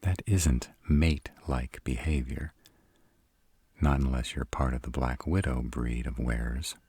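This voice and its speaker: Man acting a very deep-voiced sarcastic vampire. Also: fairly even intonation, unhurried, creaky, darkish tone, breathy edge, no room sound, exaggerated voice. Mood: teasing, dry. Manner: sarcastic, dry, grudging. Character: sarcastic brooding male vampire with a reluctant soft spot. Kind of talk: roleplay audio acting.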